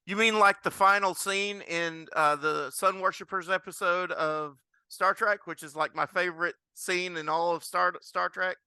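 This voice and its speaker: A man hosting a casual interview, asking questions in a halting way and rephrasing them as he goes. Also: high-pitched, fairly even intonation, pushed and bright, smooth, dry recording. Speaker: podcast host, interviewer.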